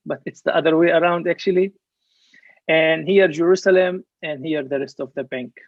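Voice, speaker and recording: A young man from Palestine gives an earnest conference talk over a video call, explaining things step by step. His voice sits a little high and carries a gravelly edge. The dull, lo-fi call audio is dry, with no room echo. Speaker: presenter. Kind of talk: online conference presentation.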